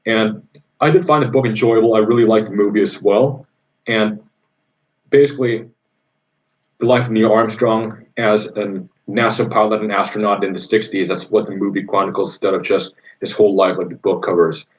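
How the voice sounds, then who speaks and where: A man's voice: on the low side, steady tone, normal speed. A young man from Indonesia chatting casually on a livestream, rambling with many ums and easy enthusiasm. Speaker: livestream host answering viewer questions. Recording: dull lo-fi sound.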